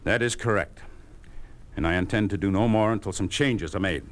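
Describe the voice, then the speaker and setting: Actor as a deep-voiced, exasperated principled surgeon. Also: male voice, brisk, lightly acted. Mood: indignant, resolute. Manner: clipped, emphatic, commanding. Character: exasperated principled male surgeon, blunt and indignant. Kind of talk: 1950s radio drama acting. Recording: noisy old 1950s radio recording.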